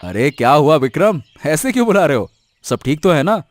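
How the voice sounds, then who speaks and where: A man playing a curious, incredulous village man in a cartoon story, with a questioning, animated manner. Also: on the high side, fairly quick. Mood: puzzled, incredulous. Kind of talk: scripted cartoon story acting. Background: music.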